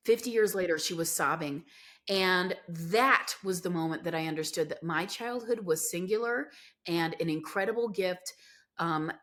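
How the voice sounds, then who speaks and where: A middle-aged American woman with a somewhat low voice tells her life story on a podcast. She is earnest and reflective, trailing into fillers as she thinks. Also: a bit bright. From United States. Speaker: podcast guest. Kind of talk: candid conversational storytelling.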